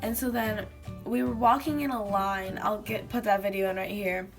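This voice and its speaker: A teenage girl vlogging casually to a webcam in her bedroom, telling stories with lots of fillers and giggles, her voice slightly hoarse from having lost it. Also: mid-range voice, fairly even intonation, moderate pace, soft background music. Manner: chatty, giggly storytelling with many fillers. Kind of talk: casual chat to camera. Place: small bedroom indoors.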